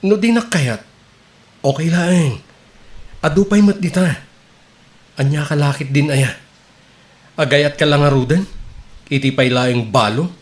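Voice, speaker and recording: A man's voice: on the high side, unhurried, pauses often, close to an everyday voice. An actor with an Ilocano Filipino accent playing a jilted, reproachful suitor who needles his beloved in a radio comedy drama. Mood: bitter.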